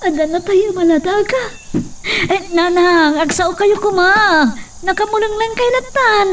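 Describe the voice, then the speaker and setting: Woman acting a very high-voiced, caring daughter. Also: measured pace. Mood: concerned. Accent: Ilocano. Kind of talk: Ilocano radio drama acting. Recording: distant mic, faint noise behind.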